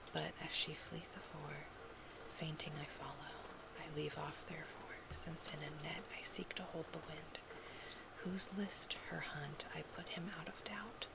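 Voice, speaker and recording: Whispering woman reading poetry, noisy ambience. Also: deep voice. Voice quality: breathy whisper. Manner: slow, gentle, intimate delivery. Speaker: ASMR roleplay host reading love poems. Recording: loud ambient noise, crackling fireplace ASMR sounds. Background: fireplace ambience, scratching and tapping.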